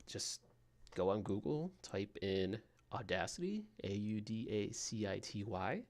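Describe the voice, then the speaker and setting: Casting: soothing male sleep-podcast host, low-pitched and gravelly, rambling softly with lots of fillers. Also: very animated. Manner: soft, slow and meandering, with frequent fillers.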